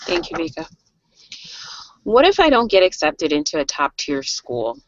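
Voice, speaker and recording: A woman's voice: sits low, big pitch swings, normal speed. A woman moderating a conference call and reading out questions. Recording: dull lo-fi conference call line.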